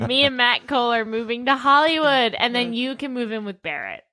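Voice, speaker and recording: A woman co-hosting a casual comedy podcast, with an excitable, sweary and playful way of talking. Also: fairly high pitch, a little fast, dry recording, low-quality recording. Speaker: podcast co-host.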